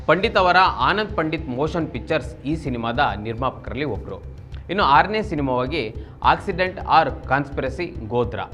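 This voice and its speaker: A man's voice: medium pitch, fairly bright tone. A man from Karnataka presenting a scripted political news explainer, formal and expository, addressing viewers directly. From Karnataka, India.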